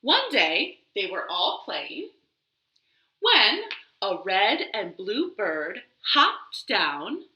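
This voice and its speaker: A woman hosting a children's storytime, reading aloud expressively with dramatic pauses and drawn-out words. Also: mid-range voice, huge pitch swings, pushed and bright. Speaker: library storytime host. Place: living room. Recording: echoey living room.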